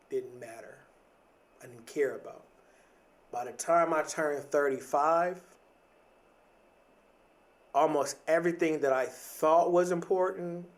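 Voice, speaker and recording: A man in his late thirties hosting a podcast, giving a casual motivational monologue in a reflective, conversational way, repeating phrases for emphasis. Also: mid-range voice. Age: late 30s. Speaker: podcast host.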